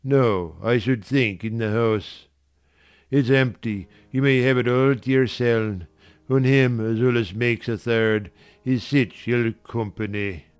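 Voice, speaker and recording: A man's voice: medium pitch, smooth, lightly acted. A man playing a pious, grumpy old servant with a Yorkshire accent, scolding sanctimoniously in a dramatized audiobook. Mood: surly mocking. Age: older. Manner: scolding, sanctimonious, slow and drawn-out.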